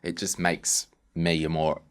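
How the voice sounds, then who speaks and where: A man with a low, deep voice hosts a podcast conversation. He talks casually and informally, feeling his way toward each question with some self-deprecation. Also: little pitch movement, measured pace, clean tone. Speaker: podcast host, interviewer.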